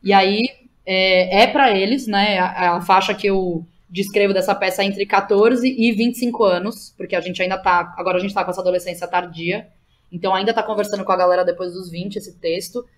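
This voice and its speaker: A woman's voice: mid-range voice, steady tone, a little fast. A Brazilian woman chatting casually as an interview guest, with informal slang and frequent tag questions. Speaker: interview guest, playwright and theatre director. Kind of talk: casual podcast conversation.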